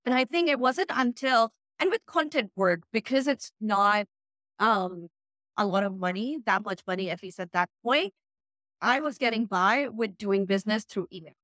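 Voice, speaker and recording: A woman from Pakistan, English not her first language, giving frank, energetic advice as an interview guest over a video call. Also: mid-range voice, moderate pace, no echo. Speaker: guest, freelance writer. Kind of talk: casual interview talk.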